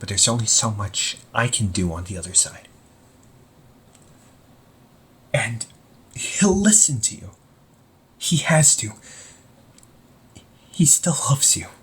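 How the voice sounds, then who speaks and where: A man playing a young supervillain in an amateur audio roleplay, husky and breathy, speaking earnestly and haltingly to the listener. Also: on the low side, big pitch swings, a bit bright, heavily acted. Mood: tender, reassuring. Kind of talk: amateur audio roleplay, intimate one-sided dialogue to listener.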